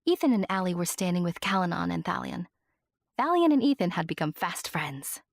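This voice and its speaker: A woman's voice: on the low side, sing-song, fairly quick, vocal fry, no echo, studio quality, full character voice. A woman narrating a fantasy audio drama as a small, fond and earnest companion telling the tale first-hand. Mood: fond, wry.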